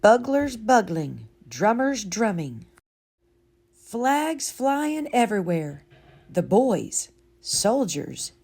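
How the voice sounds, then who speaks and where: Elderly storybook great-great-aunt acted by a woman, fond reminiscing, higher pitch, slow dramatic pauses. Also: a little mellow, exaggerated voice. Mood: proud, excited. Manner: dramatic, savoring pauses and repetitions.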